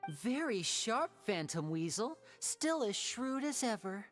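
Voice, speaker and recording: A woman playing a suave, calm young male stage magician in a video game, speaking in a polished, theatrical way. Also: a little high, slow. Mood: coolly confident. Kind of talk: dramatic video game voice acting. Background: background game music.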